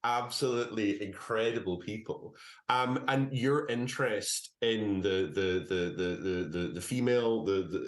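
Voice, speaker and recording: A man's voice: low voice, roomy sound. A man hosting an interview, asking long questions with many repeated words and restarts. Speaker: podcast host.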